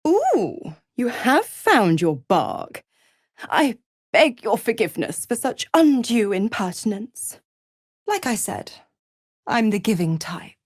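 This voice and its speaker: Sly, purring she-devil, swooping pitch. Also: dry recording, clean studio sound, full character voice. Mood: amused, mocking. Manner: teasing, condescending, theatrical drawl with purred pet names. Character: sly, mocking female devil, seductive and smugly superior. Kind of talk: video game character acting.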